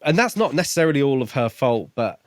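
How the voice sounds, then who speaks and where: Male podcast co-host, mid-pitched, very dry sound, casual and wry in discussion. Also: sing-song, fast, no room sound. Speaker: podcast co-host reviewing books.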